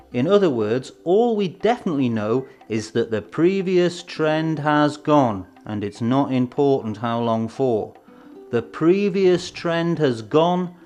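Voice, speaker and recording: A man presenting an educational film in a scripted, measured, explanatory way with emphatic phrasing. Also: mid-range voice, a little mellow. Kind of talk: scripted instructional narration. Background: background music.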